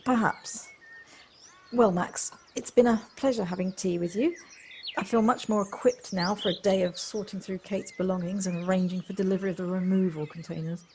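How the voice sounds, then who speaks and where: A woman playing a reserved city newcomer in an audio drama, speaking formally and haltingly. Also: medium pitch, steady tone, a little mellow, natural voice. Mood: formal, musing. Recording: noisy home recording on personal device. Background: birdsong.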